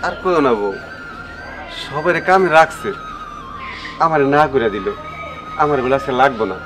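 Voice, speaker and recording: A man's voice: fairly high pitch, expressive, normal speed, music in the background, natural voice. A man voicing an exasperated, poor labourer father in a cartoon drama, with a Bangladeshi accent and a complaining, scolding manner. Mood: weary. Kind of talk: cartoon comedy drama dialogue.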